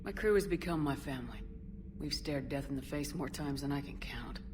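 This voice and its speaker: An actress playing a resolute, weary military commander in a science fiction video game, measured, terse and sincere. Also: female voice, low voice, noisy background, natural voice. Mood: reflective. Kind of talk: cinematic video game dialogue acting.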